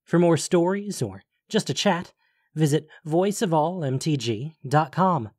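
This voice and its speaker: A man's voice: a little high, expressive, a little slow, no echo, clean studio sound. A man reading scripted fantasy fiction as the narrator of an audio story.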